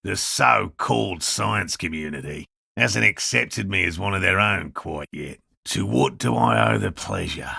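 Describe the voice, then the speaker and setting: A man playing an earnest, fussy cryptozoologist in a video game, a proud outsider scientist with a gravelly, husky voice and precise, bookish phrasing. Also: sits low, lively intonation, a little slow, few pauses, sharp tone, no room sound, clean studio sound, full character voice. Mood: earnest, matter-of-fact. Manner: precise, bookish, formal wording. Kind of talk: video game dialogue acting.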